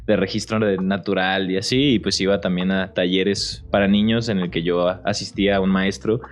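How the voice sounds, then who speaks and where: A man's voice: sits low, flat delivery, normal speed. A man with a Mexican accent chatting casually as an actor guest on a podcast, slangy and peppered with tag questions. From Puerto Vallarta, Mexico. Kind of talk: casual chat.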